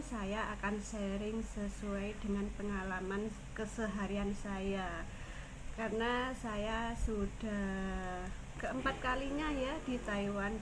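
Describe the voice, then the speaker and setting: A woman from Indonesia with a Javanese Indonesian accent, a caregiver teaching phrases in a casual lesson, saying each one slowly twice. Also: mid-range voice. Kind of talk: casual language lesson vlog. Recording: noisy ambience.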